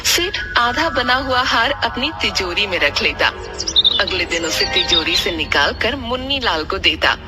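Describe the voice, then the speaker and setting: Woman narrator, scripted moral story, expressive pitch, loud background music. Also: a little slow.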